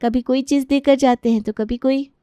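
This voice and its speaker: Casting: actress as pushy, chatty cartoon neighbour woman, highish and crisp. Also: fairly even intonation, moderate pace, mellow, dry recording, natural voice. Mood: proud. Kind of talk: Hindi cartoon story character voice.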